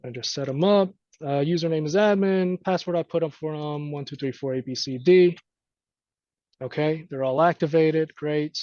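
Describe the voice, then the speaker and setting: A man with a slightly high voice leads a technical training session. He talks informally and thinks aloud through each step of an unscripted walkthrough. The sound is dull and lo-fi. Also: moderate pace, a little mellow, no room sound. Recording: dry, dull lo-fi audio.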